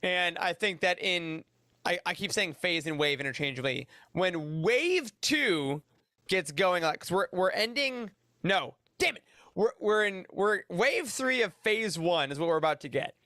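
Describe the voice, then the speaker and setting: A man's voice: high-pitched, expressive, unhurried, sharp tone, dry recording, studio quality. A man hosting a casual roundtable discussion on a podcast. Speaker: podcast host.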